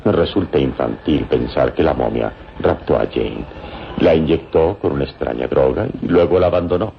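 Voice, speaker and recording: An actor playing a calm, shrewd and sceptical hero in a radio serial, speaking in a deep, gravelly, measured voice. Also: male voice, a little hiss or hum. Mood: sceptical, composed. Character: calm, shrewd heroic adult man, quietly sceptical. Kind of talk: vintage radio serial acting. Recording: dull radio recording with music.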